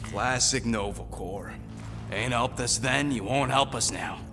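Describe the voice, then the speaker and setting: A man's voice: mid-range voice, measured pace, in a large hall, music in the background, natural voice. A man voicing a cranky, sarcastic little raccoon mercenary mechanic in a video game, griping abrasively. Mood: bitter. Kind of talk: video game cutscene acting.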